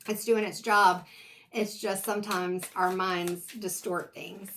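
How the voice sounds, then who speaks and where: A woman reads tarot in a relaxed, wandering way, speaking straight to her viewer as she turns each card. She is losing her voice, so it sounds a little strained and she clears her throat now and then. Her pitch sits in a plain middle range. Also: slow, a little hiss or hum. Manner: meandering, reflective, talks directly to the viewer. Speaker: tarot reader. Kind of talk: casual intuitive tarot reading.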